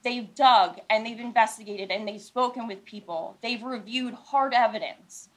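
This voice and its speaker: American woman lawyer at a press conference, kinda high voice, really deliberate, hammers points in short phrases. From Philadelphia, United States. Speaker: lawyer representing clients at a news conference. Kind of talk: prepared public statement at a press conference. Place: news conference room.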